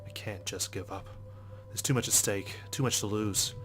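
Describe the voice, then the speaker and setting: An actor playing a dogged, skeptical male police detective in a visual novel game, speaking in a measured, serious, matter-of-fact way over music. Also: fairly low pitch, fairly bright tone, natural voice. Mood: brooding, resolute. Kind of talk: scripted visual novel narration and dialogue. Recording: music behind the voice.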